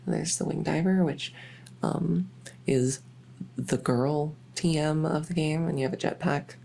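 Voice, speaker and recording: A woman's voice: sits low, gravelly, warm tone, slight background noise. A woman playing a shy, lonely, socially awkward vampire who wants friends, talking in a hesitant, rambling way in an ASMR audio roleplay. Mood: geeky, rambling. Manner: hesitant, halting, rambling, full of self-corrections.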